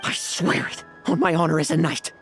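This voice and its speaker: An actor playing a desperate, remorseful young knight, pleading earnestly with a breathless delivery. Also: male voice, on the high side, moderate pace, a bit bright, faint music behind, natural voice. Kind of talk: dramatic video game acting.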